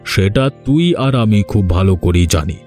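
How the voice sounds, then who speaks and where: A man's voice: on the low side, expressive, a little slow, darkish tone, faint music behind. A man playing a scheming, domineering older village landlord in a cartoon, with a commanding, condescending manner. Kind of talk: Bengali cartoon voice acting.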